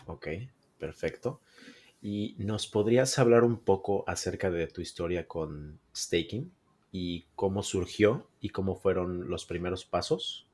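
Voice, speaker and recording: A man's voice: deep voice, a little slow, darkish tone. A man hosting a podcast interview, welcoming guests and asking questions with short acknowledgements. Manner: brief acknowledgements, friendly questions. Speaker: podcast host. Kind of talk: scripted intro and casual interview.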